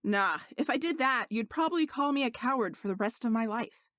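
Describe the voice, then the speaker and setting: Indignant young servant sorcerer acted by a woman, earnest, insistent, fairly high pitch, close dry sound, storybook fantasy. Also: expressive, fairly quick, fairly bright tone, no echo. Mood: teasing.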